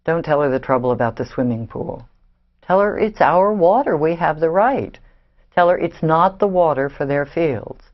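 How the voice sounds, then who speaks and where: A woman's voice: sits low, expressive, normal speed. An actress in a play reading, playing a defiant, hard-edged woman in an Israeli family, on a dull recording. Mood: guarded, insistent.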